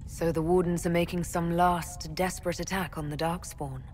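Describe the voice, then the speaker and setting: An actress playing a composed, purposeful female leader in a fantasy game, husky and measured. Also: lowish voice, steady tone, darkish tone, soft background music, natural voice. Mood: questioning. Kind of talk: dramatic fantasy game acting.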